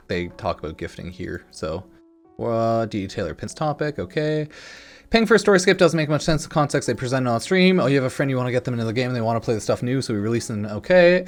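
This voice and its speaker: A man giving casual, rambling opinion commentary as a gaming content creator, informal and sweary, sometimes reading comments aloud. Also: mid-range voice. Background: music in the background.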